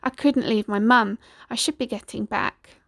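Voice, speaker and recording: A woman voicing an eager, curious young boy in a storybook with a raised, bright voice. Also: on the high side, normal speed, gravelly, no room sound, studio quality.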